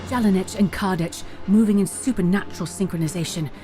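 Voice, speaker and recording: A woman's voice: medium pitch, a little fast, lightly acted. A woman playing the storyteller of a fantasy audio drama, narrating a heroic tale in a grave, dramatic scripted style. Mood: grave, suspenseful. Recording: music bed under the voice. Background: prominent dramatic music.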